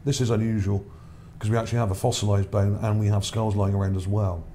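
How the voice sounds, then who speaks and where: Guy rambling over pics, low warm voice wearing out, bit of hiss. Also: flat delivery, quick pace. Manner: rambling, repeats words, checks in with "okay?". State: voice starting to go. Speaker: channel host. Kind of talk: casual narration over images, reading his article.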